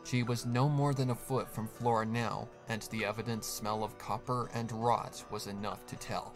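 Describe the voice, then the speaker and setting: A man narrating a horror fan story in a steady, hushed, dramatic voice. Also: fairly low pitch, moderate pace. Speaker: fanfic reader, narrator. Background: music.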